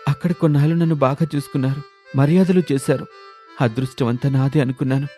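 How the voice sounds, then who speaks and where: A man's voice: mid-range voice, fairly even intonation, quick pace, dark tone, natural voice. Man as a plaintive, swindled husband, music behind. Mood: dejected. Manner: plaintive, self-pitying. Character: downcast swindled young husband confiding in a friend.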